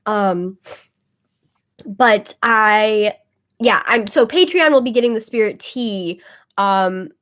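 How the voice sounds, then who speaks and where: A woman's voice: mid-range voice, takes it slowly, long pauses, fairly bright tone. A woman chatting casually on a webcam livestream, rambling with lots of "like" fillers and a slightly phlegmy voice. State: a bit phlegmy, congested. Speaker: tarot reader livestreaming to viewers.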